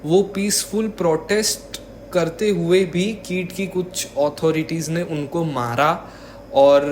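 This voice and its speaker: A man's voice: on the high side, slight background noise. A young man giving informal spoken commentary as a vlogger, mixing in English words. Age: young adult. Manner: code-switches with English words.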